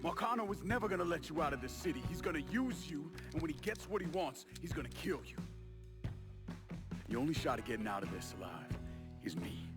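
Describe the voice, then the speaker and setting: A man's voice: fairly high pitch, big pitch swings, brisk. A man with an American accent playing a determined, blunt, profane young man out for revenge on the mob in a video game cutscene. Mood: firm, persuasive. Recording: music behind the voice.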